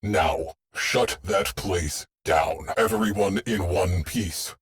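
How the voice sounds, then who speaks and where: A man voicing a terse, dry shipboard AI tactician in a video game, speaking in clipped, deadpan military phrases. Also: deep voice, slow, husky, full character voice. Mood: terse, businesslike. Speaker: mission control voice. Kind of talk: scripted game voice lines.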